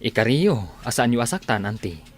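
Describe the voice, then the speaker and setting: A man's voice: mid-range voice, sing-song, normal speed, breathy edge. A man playing a wary, respectful young nephew in a radio drama. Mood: wary, polite. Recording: noisy, background ambience.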